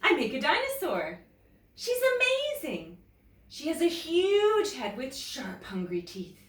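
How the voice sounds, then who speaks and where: A woman's voice: high voice, wildly swooping pitch, slow pace, voice pushed up, exaggerated voice. Woman as a sulky, determined little girl, echoey. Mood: proud. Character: sulky, determined young girl with big imagination. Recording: roomy, echoey, distant mic.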